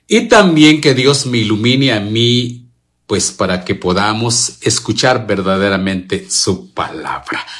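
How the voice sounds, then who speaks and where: Slow, expressive Guatemalan preacher man. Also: medium pitch. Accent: Guatemalan Spanish. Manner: addresses listeners directly, repeats phrases, asks and answers own questions. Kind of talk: devotional gospel reflection to an online and radio audience.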